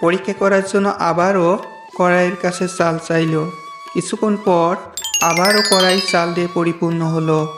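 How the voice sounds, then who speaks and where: A narrator tells a scripted cartoon fable at a middle pitch. The voice has a roomy echo, with music playing behind. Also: steady tone, moderate pace. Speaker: storyteller, narrator.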